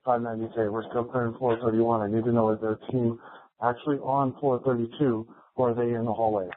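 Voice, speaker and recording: A man's voice: mid-range voice, level tone, fast talker. A man speaking as a police officer in terse radio traffic, heard over a narrow police radio.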